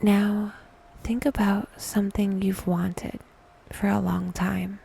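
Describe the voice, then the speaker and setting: Slow, whispery woman guiding meditation. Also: medium pitch, little pitch movement. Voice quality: soft, breathy whisper. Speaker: guided meditation host. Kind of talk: whispered, soothing guided meditation.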